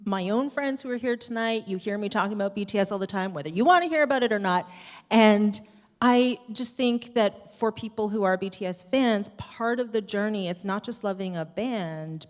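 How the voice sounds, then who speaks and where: A woman's voice: mid-range voice, moderate pace. Woman, live panel guest, candid storyteller, informal and keen, big-room reverb. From Canada. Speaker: series host on a Q&A panel. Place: theatre stage at a live screening Q&A. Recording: reverberant hall, stage microphone.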